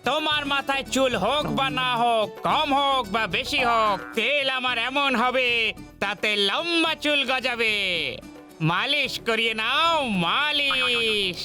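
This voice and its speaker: Piercing, high-pitched cartoon hawker. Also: male voice, bright, forceful tone, faint music behind, heavily acted. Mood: boastful, coaxing. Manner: singsong salesman patter, drawn-out calls. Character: boastful singsong street oil-massage hawker. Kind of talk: Bengali children's cartoon dub.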